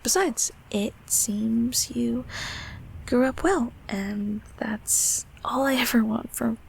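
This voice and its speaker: A woman voicing a gentle, shy childhood closet creature in an intimate comfort roleplay, speaking softly and hesitantly in a breathy half-whisper. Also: a little high, a little hiss or hum, poor audio. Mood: tender, accepting. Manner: hesitant, halting, tender.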